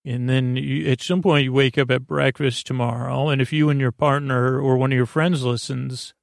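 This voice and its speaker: A man hosting a podcast with a goofy, improvised bedtime monologue, halting and meandering as he repeats himself and trails off mid-word. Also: medium pitch, fairly even intonation, vocal fry, no room sound, studio quality. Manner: goofy, silly, self-deprecating. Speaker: podcast host.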